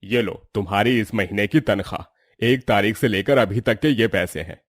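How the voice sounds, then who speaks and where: An actor playing a stern, unfeeling factory boss in a moral story, speaking in a firm, curt manner. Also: male voice, lowish voice, normal speed, husky, dry recording, clean studio sound. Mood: businesslike.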